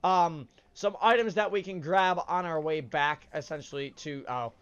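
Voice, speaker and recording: A man's voice: fairly high pitch, normal speed, a bit bright, smooth, muffled recording. A man with a stuffed-up nose from a cold, rambling through casual gameplay commentary as the host. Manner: chatty, rambling, frequent uhs and self-corrections. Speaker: let's play host.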